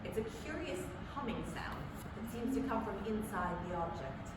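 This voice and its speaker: Lady doing an old-time radio reporter, excited and halting, far from the mic in a noisy echoey hall. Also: mid-range voice, natural voice. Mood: hushed wonder. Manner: breathless, halting, dramatic eyewitness narration. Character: excitable male radio news reporter at a disaster scene. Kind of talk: 1930s radio news commentary, staged reading. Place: staged reading in a public library.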